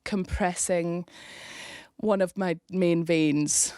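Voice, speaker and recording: A woman's voice: fairly low pitch, steady tone, extremely slow, mellow, smooth, dry recording. A young woman from Scotland, a rugby player, chatting casually as a podcast guest, laughing often and restarting her sentences.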